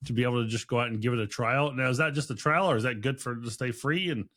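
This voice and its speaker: A man's voice: mid-range voice, fast talker, fairly bright tone. A man hosting a podcast in casual interview chat, effusive and rambling, often repeating himself. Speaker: podcast host.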